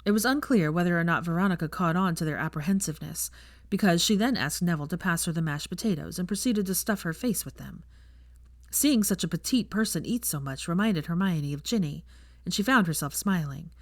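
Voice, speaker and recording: Animated lowish-voiced woman narrator. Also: brisk, no echo, studio quality. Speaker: fan fiction narrator. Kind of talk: scripted story narration.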